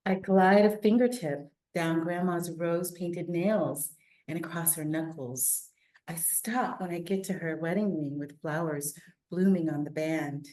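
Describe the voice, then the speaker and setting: A woman with a gentle, measured manner, talking informally and reading a children's story aloud. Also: on the low side. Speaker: children's book illustrator.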